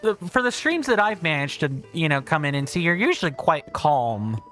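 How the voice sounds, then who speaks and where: Man, game streamer, booming voice, chatty, thinks out loud, faint music behind. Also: big pitch swings, moderate pace. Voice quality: big booming voice. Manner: chatty, thinks aloud, reads viewer comments. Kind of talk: casual gameplay livestream chat.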